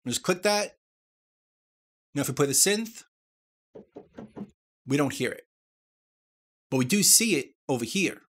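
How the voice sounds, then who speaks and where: Man narrating a software tutorial. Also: on the high side, expressive, unhurried, long pauses, slight background noise. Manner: step-by-step, explanatory. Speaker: tutorial presenter.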